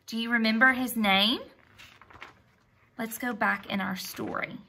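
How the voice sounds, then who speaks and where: A woman's voice: on the high side, steady tone, measured pace, gravelly, pushed and bright, a little hiss or hum. A woman teacher reading a storybook expressively to young children, asking them simple questions and praising them. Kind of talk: expressive storybook read-aloud and lesson.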